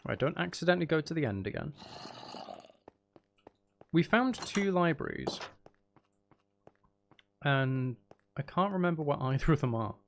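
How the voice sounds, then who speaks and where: A man's voice: on the high side, expressive, measured pace, vocal fry, long pauses, slight background noise. A man giving casual gameplay commentary to viewers, thinking aloud and questioning himself in a wry, joking way. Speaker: streamer, host of the channel.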